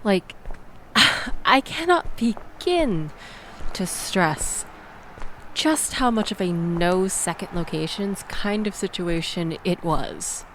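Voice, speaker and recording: Woman acting a slow-talking, chatty young woman. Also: mid-range voice, animated, fairly bright tone, slight background noise, poor audio. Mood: exasperated, venting. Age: young adult. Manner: chatty, sardonic, conversational with nervous laughs. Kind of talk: intimate audio roleplay acting, addressed to listener.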